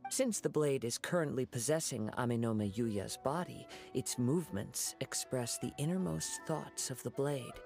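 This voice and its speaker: An actor playing a calm young male wandering samurai, speaking in a measured, reasoning way over game music. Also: mid-range voice, creaky. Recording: game audio with music behind.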